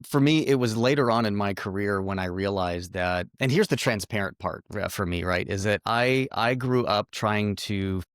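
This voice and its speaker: A man's voice: lowish voice, moderate pace, hardly any pauses, clear, resonant tone, dry recording, studio quality. An older man whose first language was Greek, speaking as an interview guest and telling his story in an earnest, emphatic, reflective way. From United States. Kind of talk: candid conversational storytelling.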